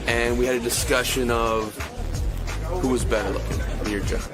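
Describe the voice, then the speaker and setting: A man's voice: medium pitch, little pitch movement, moderate pace, over loud music. A man who works on a radio show, chatting casually on air. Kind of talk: casual chat.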